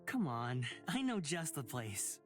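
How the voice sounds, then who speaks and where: A woman's voice: very deep voice, big pitch swings, slow, heavily acted. A woman voicing a breezy, sardonic rich young man in a visual novel game. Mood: wry, breezy. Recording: music under the voice. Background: game music.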